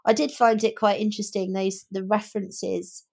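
A woman's voice: medium pitch, little pitch movement, normal speed, creaky, darkish tone. Reflective woman co-hosting a book podcast. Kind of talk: casual book discussion.

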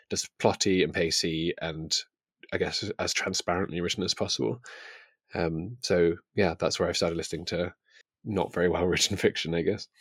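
Male book podcaster, deep voice, thoughtful conversational delivery, dry close sound. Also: breathy edge, no echo. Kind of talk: casual book discussion.

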